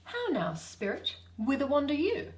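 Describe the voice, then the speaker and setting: A woman performing a mischievous, boastful male sprite in theatrical, drawn-out Shakespearean verse. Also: sits high, wildly swooping pitch, pitched above the performer's own voice. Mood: curious, bold.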